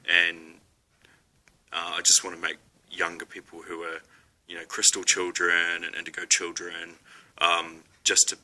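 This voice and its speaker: Deep flat-voiced kiwi guy, kinda sharp tone, lots of ums, chatting to camera. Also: low-quality recording. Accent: New Zealand. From New Zealand. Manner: hesitant, many ums and restarts, small laughs. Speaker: spiritual vlogger. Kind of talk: casual talk to camera.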